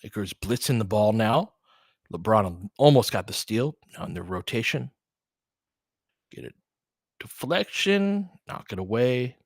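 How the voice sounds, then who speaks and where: Low-voiced American man calling basketball. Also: very animated, measured pace, vocal fry, pauses often, no room sound, studio quality. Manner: conversational, analytical, clipped phrases during play. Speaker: host and play-by-play commentator for a fan stream. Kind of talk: casual live basketball commentary with analysis.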